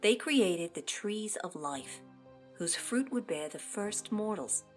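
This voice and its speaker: A woman voicing an opossum mother in an animated fandub, telling a story with drama and casual, playful asides, easily flustered. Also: medium pitch, takes it slowly. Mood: earnest, solemn. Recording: loud music behind the voice.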